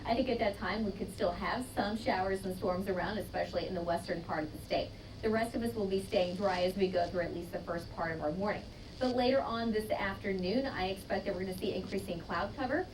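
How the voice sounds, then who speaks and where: A quick-paced American woman delivers a live TV weather forecast in an average-pitched voice. She sounds a little far from the mic, with clear noise behind her. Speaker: TV weather presenter. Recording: clearly noisy, distant broadcast control-room feed.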